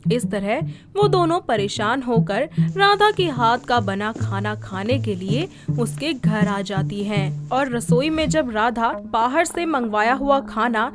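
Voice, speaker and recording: A woman's voice: on the high side, animated, takes it slowly. A woman narrating a scripted moral family story. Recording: music behind the voice. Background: background music.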